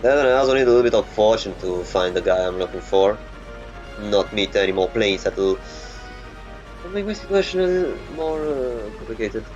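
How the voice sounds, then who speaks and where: Guy muttering through a flight game, lowish voice, game music going behind. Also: animated, darkish tone, dull audio. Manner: muttering, halting, thinking aloud. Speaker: gamer. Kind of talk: gameplay commentary.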